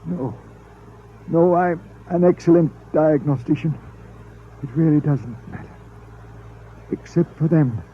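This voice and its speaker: Slow, failing old scientist. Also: male voice, fairly high pitch. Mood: weary, resigned. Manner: halting, weary. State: weak and dying. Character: dying, lonely old male scientist, apologetic and resigned. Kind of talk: 1950s radio drama acting. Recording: muffled, noisy 1950s radio recording.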